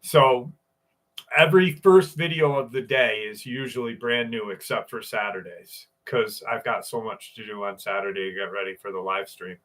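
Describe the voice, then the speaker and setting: A man's voice: on the low side, moderate pace, fairly bright tone. A man hosting a live stream, telling stories in a loose, profane way and greeting viewers by name. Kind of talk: casual live stream chat and storytelling.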